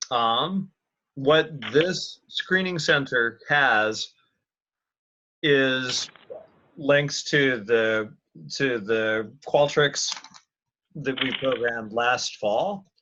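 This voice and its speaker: A man leading a casual work conference call, thinking aloud as he presents and often pausing to restart his sentences. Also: medium pitch, bright, forceful tone, a little hiss or hum. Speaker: meeting leader presenting slides. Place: online video conference call. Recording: muffled, lo-fi conference call audio.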